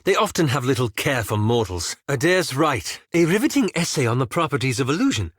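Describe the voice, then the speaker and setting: An actor voicing a prim, bookish elf wizard in a fantasy video game, speaking formally and precisely with dry sarcasm. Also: male voice, medium pitch, very animated, brisk, no echo.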